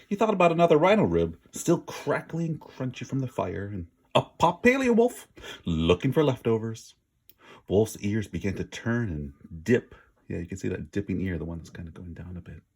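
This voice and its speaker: A man's voice: mid-range voice, darkish tone. A man reading a children's story aloud with dramatic, emphatic word stress and an informal manner. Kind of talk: expressive read-aloud narration with casual asides.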